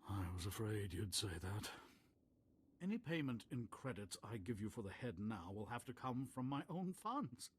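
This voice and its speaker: A man's voice: on the low side, very animated, moderate pace, slight background noise. Pompous, flustered nobleman, swooping intonation. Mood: pleading. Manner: hesitant, formal, fussy. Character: pompous, flustered, obsequious male nobleman, timid and flowery. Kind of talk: video game voice acting.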